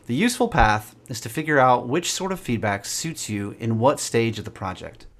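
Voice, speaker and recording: A man's voice: mid-range voice, sing-song, normal speed. A man co-hosting a podcast in an easygoing, joking way, reading a short blog post aloud. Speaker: podcast co-host.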